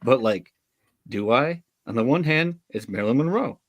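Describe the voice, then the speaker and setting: A man's voice: medium pitch, animated, moderate pace, no room sound, natural voice. A man playing an awkward, sarcastic, self-aware eighteen-year-old nerd in a script read. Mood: awkward musing. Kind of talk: live table read of a film script.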